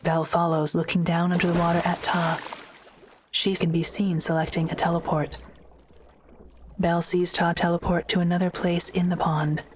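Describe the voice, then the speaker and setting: A woman narrating an audio drama script in a measured, matter-of-fact way. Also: fairly low pitch, little pitch movement, a little hiss or hum.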